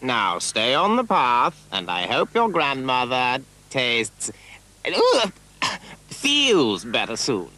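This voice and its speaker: A man voicing a big bad male wolf who is sly and hungry, drawing out his words slowly. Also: medium pitch, wildly swooping pitch, pushed and bright, exaggerated voice, low-quality recording. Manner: slow, drawn-out, sly wheedling.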